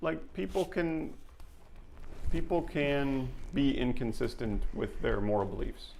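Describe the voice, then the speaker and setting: A man co-hosting a casual livestream, measured and reading viewer comments aloud. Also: medium pitch, steady tone, vocal fry, darkish tone, slight background noise. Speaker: livestream co-host.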